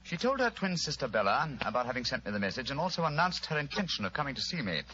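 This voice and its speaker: An actor playing a shrewd, commanding English gentleman detective in an old radio drama, precise, with an English accent. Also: mid-range voice, sing-song, quick pace. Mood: explaining. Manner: urbane, precise, authoritative. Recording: muffled, noisy old radio recording.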